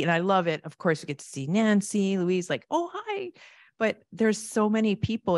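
A woman keynote speaker answering audience questions in a conversational way, thanking the askers and acting out quick asides. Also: low voice, a little mellow, no echo, studio quality. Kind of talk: conference Q&A answers. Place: large conference hall with audience.

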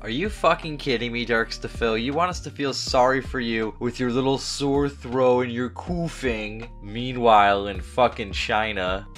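A man's voice: medium pitch, fairly even intonation, a little slow, hardly any pauses, fairly bright tone, faint music behind. A man giving profane, sarcastic voice-over commentary that mocks a streamer. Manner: profane, mocking, ranting. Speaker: commentary narrator mocking a streamer.

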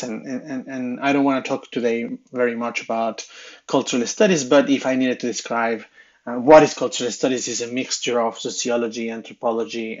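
A man's voice: mid-range voice, muffled recording. A man in his thirties with a Mexican Spanish accent, giving a recorded slide lecture as a university lecturer, hesitant with many fillers and restarts.

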